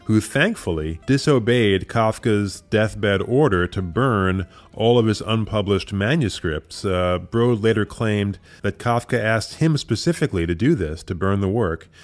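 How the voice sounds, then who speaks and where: A man's voice: fairly low pitch, lively intonation, unhurried, hardly any pauses. A man hosting an audio drama program, giving semi-scripted commentary with thoughtful, informal asides.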